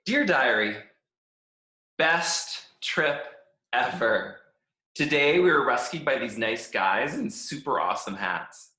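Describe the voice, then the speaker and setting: Man playing Stick, an excitable, bright-voiced cheerful twig. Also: mid-range voice, slow pace. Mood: gleeful. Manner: gushing, excitable, naive. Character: Stick, a cheerful, oblivious, adventure-loving talking stick. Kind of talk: animated children's storybook read-aloud. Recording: echoey room.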